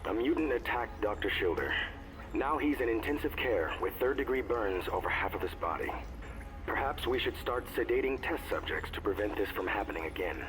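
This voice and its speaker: A man plays a cold scientist villain in a video game. His fairly low voice is haughty and preaching, full of contempt. Loud music runs behind it. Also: expressive, a little fast, close to an everyday voice, rough recording. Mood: clinical.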